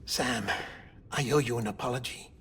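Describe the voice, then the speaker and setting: An actor playing a melancholy, earnest lab-grown man in a cinematic video game, speaking with deliberate, confessional phrasing. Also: medium pitch, a bit bright, a little hiss or hum, lightly acted. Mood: solemn. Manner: deliberate, storytelling delivery. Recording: background music under dialogue.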